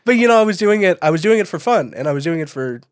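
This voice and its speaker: A man's voice: high voice, animated, very fast, clear, resonant tone, dry recording, studio quality. A man co-hosting a podcast, telling stories in a casual, informal way and acting out bits of conversation. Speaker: podcast co-host, event photographer. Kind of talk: casual podcast chat, storytelling.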